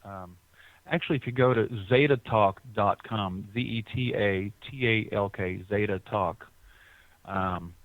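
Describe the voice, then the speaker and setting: A man's voice: fairly low pitch, unhurried, no room sound. A man being interviewed as a guest over the phone, rambling with frequent restarts and repeated words. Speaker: guest artist. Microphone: telephone. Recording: tinny phone line, dry.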